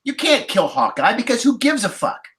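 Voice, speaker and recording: A man's voice: very high-pitched, sing-song, moderate pace, a bit bright. A man talking casually as a podcast panelist about film, explaining his points emphatically.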